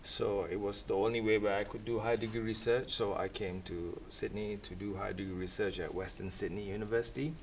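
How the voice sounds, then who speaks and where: Low-voiced man, heard from afar. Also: slight background noise. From Singapore. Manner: thoughtful, rambling, with soft laughs. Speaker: academic panelist. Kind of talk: reflective panel talk. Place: event room. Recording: voice from a distant mic.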